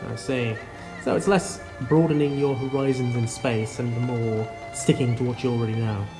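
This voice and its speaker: A man's voice: mid-range voice, steady tone, normal speed, natural voice, low-quality recording. An actor playing a reckless, obsessive, flippant monster hunter in an audio drama, wordy and wry in his theatrical delivery. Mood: dry, subdued. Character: reckless, obsessive male eccentric hunting a monster. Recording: music behind the voice.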